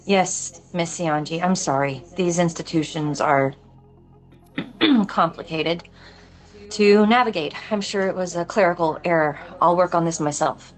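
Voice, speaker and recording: Police lieutenant, acted by a woman, fairly low pitch, composed, wry, placating, dry delivery, film drama table read. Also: measured pace, faint music behind, lightly acted, low-quality recording.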